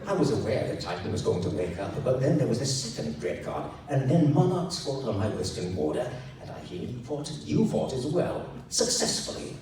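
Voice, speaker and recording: A man's voice: mid-range voice, sing-song, normal speed, few pauses, a little mellow, natural voice. A man playing a formal, earnest and exasperated emperor, speaking in a stiff courtly manner for a story reading. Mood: aggrieved. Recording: echoey noisy room, recorded from far off.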